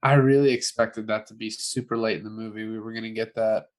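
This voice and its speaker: A man's voice: on the low side, steady tone, a little fast. A man co-hosting a casual film review podcast, chatting in a jokey way. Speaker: podcast co-host.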